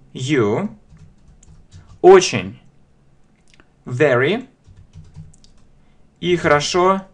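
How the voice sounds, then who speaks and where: A man giving a beginner English grammar lesson in a video, often pausing on fillers and saying English examples slowly and with stress. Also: a little high. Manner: slow, emphatic English examples with many "a" fillers. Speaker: English teacher.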